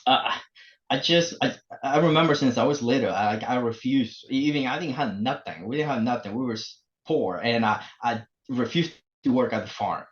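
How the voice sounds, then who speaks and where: A man in his forties from El Salvador, speaking English, telling his story as a podcast guest with many pauses and fillers. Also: medium pitch. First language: Spanish. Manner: halting, many fillers and restarts. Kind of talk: casual interview. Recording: muffled lo-fi remote audio.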